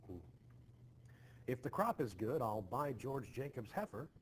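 A man playing a gruff, weary Puritan farmer husband in a play reading, terse and plain-spoken. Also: medium pitch, big pitch swings, a little slow. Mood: conciliatory. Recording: noticeably hissy home screen recording.

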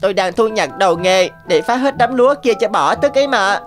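A woman's voice: medium pitch, lively intonation, fairly quick, lightly acted. A woman voicing a sweetly deceitful, vengeful young rabbit in a cartoon. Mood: sly. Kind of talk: cartoon voice acting. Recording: faint music behind.

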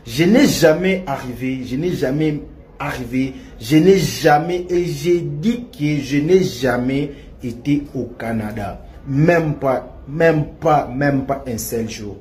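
A young man with a Congolese French accent, giving a halting, repetitive video statement to clear his name. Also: mid-range voice, a little mellow. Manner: halting and repetitive, many restarts and fillers.

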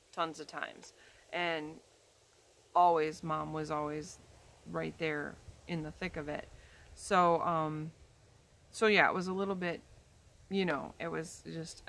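A woman's voice: low-pitched, fairly bright tone. A woman of about fifty chatting casually to camera from a backyard chair, rambling with frequent ums and restarts. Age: 50s. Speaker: vlogger. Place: outdoors in a leafy backyard, seated in a chair. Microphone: camera in front, head and shoulders framing.

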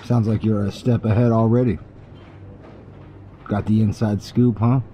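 A man's voice: on the low side, level tone, measured pace, warm tone, muffled recording, a little hiss or hum, close to an everyday voice. A man playing a cynical, defiant space vagabond in a science fiction audio drama, terse and sardonic. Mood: sardonic, guarded.

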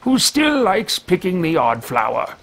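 A man voicing an arrogant wizard mercenary who talks to himself, in a pompous, theatrical, drawn-out manner while playing a game. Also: high voice, wildly swooping pitch, gravelly, a bit bright, voice pushed up, exaggerated voice. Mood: musing. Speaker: let's play roleplayer. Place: small room with bookshelves, indoors. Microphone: headset mic at a desk webcam.

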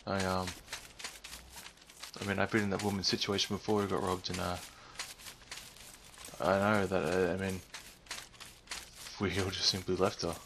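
Male game narrator, low-pitched, hesitant storytelling, light noise on the recording. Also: flat delivery, fairly bright tone. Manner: halting, hesitant. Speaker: narrator, gamer. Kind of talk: unscripted storytelling commentary over game footage.

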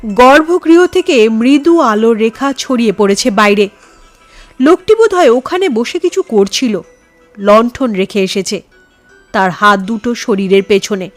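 A woman narrating a horror story in an expressive, suspenseful, scripted storytelling style. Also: fairly high pitch, unhurried, soft background music.

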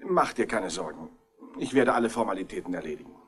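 A man's voice: low voice, lively intonation, fast talker, a bit bright. A man playing a fussy, self-pitying husband in a 1960s radio crime drama, with a polite manner. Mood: reassuring. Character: fussy, put-upon husband arriving late, self-pitying.

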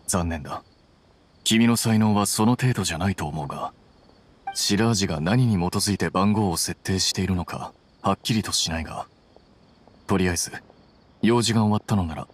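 Low-voiced, composed young scholar. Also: male voice, brisk, husky. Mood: cool, detached. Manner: composed, matter-of-fact, precise explaining. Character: cool, analytical young male scholar. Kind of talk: Japanese anime game voice acting.

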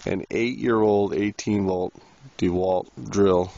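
A man casually narrating an ice-fishing trip, halting with many fillers, recorded outdoors on a frozen lake. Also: sits low, steady tone, mellow, no echo. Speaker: outdoor vlogger. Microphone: handheld camera mic. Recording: wind noise on a lo-fi camera mic.

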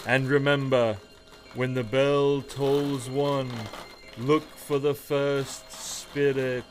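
A man's voice: medium pitch, flat delivery, slow, faint music behind, natural voice. A man playing a grave, ominous ghost giving warnings in a radio play. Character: solemn ghost of a dead business partner, warning. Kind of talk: old-time radio play acting.

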